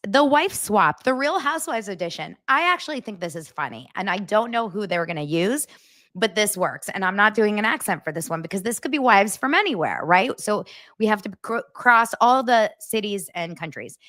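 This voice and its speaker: A woman's voice: fairly high pitch, a bit bright. Giggly chatty woman podcast host. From United States. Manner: chatty, giggly, self-mocking. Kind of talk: casual pop-culture chat.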